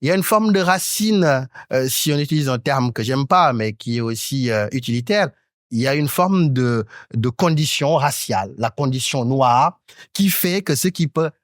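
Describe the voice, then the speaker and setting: A man in his thirties from Senegal, speaking as a talk show panelist in an articulate, academic way, often pausing on hesitant fillers. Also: medium pitch, animated, dry recording, studio quality. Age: 30s. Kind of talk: panel discussion.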